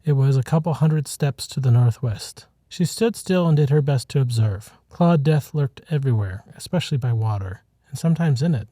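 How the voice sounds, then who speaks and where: A man reading a short story as scripted narration, in a measured, dramatic manner. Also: mid-range voice, lively intonation, mellow, dry recording, clean studio sound. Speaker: author reading his own short story.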